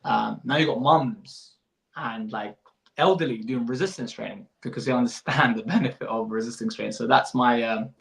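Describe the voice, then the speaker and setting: A man in his thirties with a noticeably gravelly, fry-laden voice at a medium pitch. He speaks as a coach in an informal interview, explaining things and rewording as he goes. The recording has clear room echo. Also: normal speed, a bit bright. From born in Iraq, moved abroad as a young child. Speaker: fitness coach and business owner. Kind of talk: casual informative interview answers.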